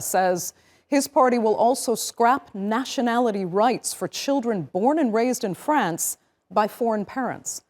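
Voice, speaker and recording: A woman hosting a news program, reading a script in a clear, measured way with emphatic openers. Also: medium pitch, a little mellow. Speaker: news show host.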